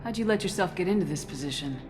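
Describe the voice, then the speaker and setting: A woman's voice: fairly low pitch, animated, rapid-fire, in a large hall, lightly acted. A woman playing a tough, no-nonsense military commander in a video game, direct and terse. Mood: businesslike. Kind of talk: video game cutscene acting. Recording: music behind the voice.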